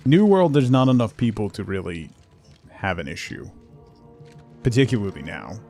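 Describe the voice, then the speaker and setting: A man's voice: fairly low pitch, sing-song, moderate pace, vocal fry, warm tone, faint music behind. A man chatting casually as he livestreams a game, muttering asides to himself and his viewers. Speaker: game streamer. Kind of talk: casual livestream gameplay chat.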